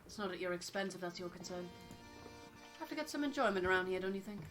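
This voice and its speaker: Sly sailor trickster, played by a woman, furtive, evasive, clear forward brightness, narrative adventure game. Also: lowish voice, lively intonation, fast talker, soft background music. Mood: cagey, sly.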